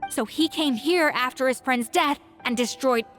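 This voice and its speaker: High-voiced, forthright young heroine. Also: female voice, moderate pace, a bit bright. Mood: earnest realization. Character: proud young woman heroine, earnest and forthright. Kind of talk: video game voice acting. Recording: game music behind the voice.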